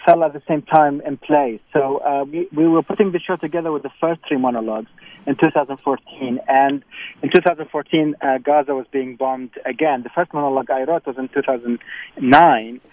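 A man's voice: mid-range voice, fairly even intonation, fairly quick, phone-quality sound. A playwright answering interview questions, explaining his ideas thoughtfully with frequent fillers and restarts. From born in Prague, raised partly in Baghdad. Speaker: playwright and performer.

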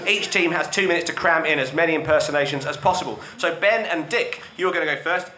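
A man hosting a comedy game show, brisk and teasing as he explains the rules, often laughing. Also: a little high, pushed and bright, echoey room, slight background noise. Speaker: quiz host.

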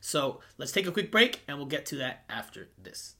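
A man's voice: fairly high pitch, very animated, moderate pace, sharp tone, slightly rough, faint music behind. A man hosting a soccer podcast, introducing segments and asking direct, upbeat questions. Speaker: podcast host.

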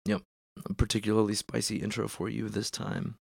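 Congested man with a gravelly voice. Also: on the low side, flat delivery, brisk, no room sound, studio quality. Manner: rambling, filler-heavy. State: congested, losing his voice from allergies. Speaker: podcast host. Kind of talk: casual chat.

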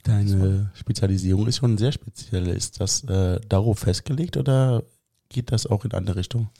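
Low-voiced curious male interviewer. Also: moderate pace, dark tone, no room sound, clean studio sound. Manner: curious, asks short follow-up questions. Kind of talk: casual interview.

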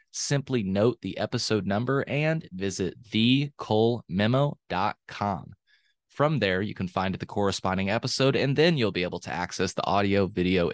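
Male podcast host, low voice, earnest and upbeat, dry close sound. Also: dry recording. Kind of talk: podcast intro and casual interview.

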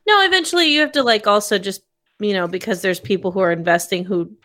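A woman chatting casually on a podcast, frank and animated, with a fair bit of swearing. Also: mid-range voice, fast talker. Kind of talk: casual chat.

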